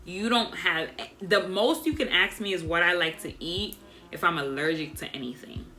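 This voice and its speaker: A young woman vlogger telling a dating story to her viewers in a casual, chatty way, speaking softly without projecting. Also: lowish voice, moderate pace, soft background music, rough recording. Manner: soft, doesn't project, monotone by her own account; chatty and animated, addresses viewers as y'all.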